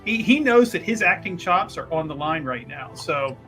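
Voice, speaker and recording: A man playing a tabletop roleplaying game, talking casually with halting restarts and laughs. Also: a little high, lively intonation, loud music behind the voice. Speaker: player.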